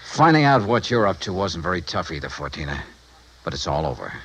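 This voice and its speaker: An actor playing a tough, straight-talking insurance investigator in a 1950s radio detective drama, clipped and insistent. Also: male voice, sits low, sing-song, fairly quick, fairly bright tone, husky, full character voice, poor audio. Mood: defiant. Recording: vintage radio drama recording.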